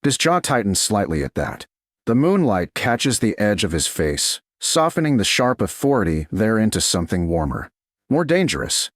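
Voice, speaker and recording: A man narrating a scripted romance story in a measured, deliberate way, with short dramatic phrases. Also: lowish voice, sing-song, no room sound, studio quality.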